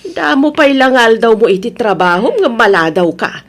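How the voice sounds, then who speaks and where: A woman's voice: a little high, lively intonation, normal speed. A woman acting a firm, humble middle-aged mother with an Ilocano Filipino accent, scolding and advising in a motherly way in an audio drama. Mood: exasperated.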